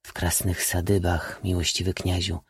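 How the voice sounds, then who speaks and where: A man's voice: low voice, little pitch movement, moderate pace, slightly rough, no room sound, studio quality, voice pushed down. A man voicing a dazed, sullen Cossack servant who answers in terse, muted words, in an audiobook story. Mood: dull, stunned.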